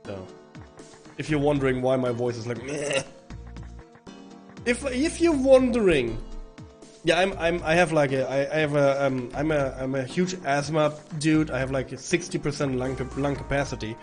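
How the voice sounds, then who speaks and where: A man with a hoarse, rough voice from bronchitis, chatting casually and rambling to stream viewers while playing a game. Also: medium pitch, lively intonation, moderate pace. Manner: rambling, chatty, swearing, with frequent restarts. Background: faint game music.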